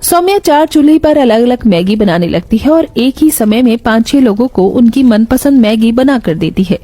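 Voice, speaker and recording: A woman narrates a scripted moral tale. Her voice sits a little high, with a soft, mellow tone and a close, dry sound. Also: expressive, normal speed, no echo.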